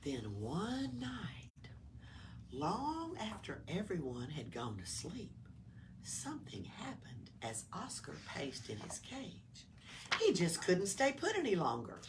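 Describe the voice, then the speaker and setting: A woman reading a children's story aloud with dramatic emphasis, sometimes hushed, recorded at a distance in a library. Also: fairly low pitch, huge pitch swings, takes it slowly. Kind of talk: expressive children's read-aloud. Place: small town library. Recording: distant mic with room noise.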